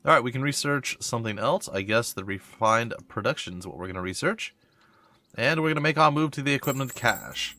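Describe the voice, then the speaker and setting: Lively congested man commenting on gameplay. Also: mid-range voice, fairly quick, dry recording. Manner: thinking aloud, reads on-screen text then reacts with mild puzzlement. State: congested from a cold. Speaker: let's play host. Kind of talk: casual gameplay commentary reading tutorial text aloud.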